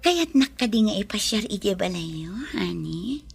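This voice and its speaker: A woman playing a kind, sincere young woman in love in a romantic audio drama, with a breathy voice. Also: mid-range voice, very animated, a little slow, exaggerated voice. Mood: soft, shy. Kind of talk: romantic Ilocano radio drama acting.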